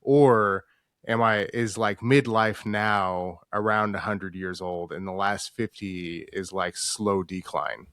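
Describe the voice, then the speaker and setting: A man in his thirties with a lowish voice, talking dry and close to the mic. He sounds wry and worn out, and swears in an offhand way. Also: steady tone, slow, fairly bright tone, smooth, no echo. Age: 30s. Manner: wry and weary, swears casually. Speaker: podcast co-host. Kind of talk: casual chat.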